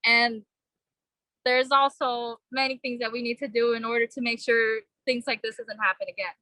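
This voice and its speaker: A young woman from Vietnam speaks at a vigil in an earnest, halting way, leaning on fillers as she gathers her thoughts. Her voice is bright and somewhat high. The sound is close and dry. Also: little pitch movement, no room sound, low-quality recording. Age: young adult. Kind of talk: personal speech at a vigil.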